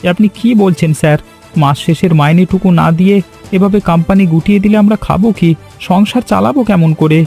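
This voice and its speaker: A man plays an earnest elder brother in a cartoon story. His voice is pitched high for a man, soft-edged and anxious, with faint music behind it. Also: fast, creaky, breathy edge, full character voice. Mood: alarmed. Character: anxious, kindly elder brother, an office worker. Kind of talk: animated story dialogue.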